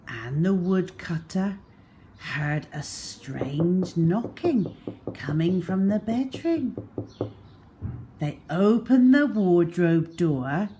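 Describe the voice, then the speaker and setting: Very slow, animated woman storyteller. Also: fairly low pitch, a little hiss or hum. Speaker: children's storyteller. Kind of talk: expressive storybook narration for young children.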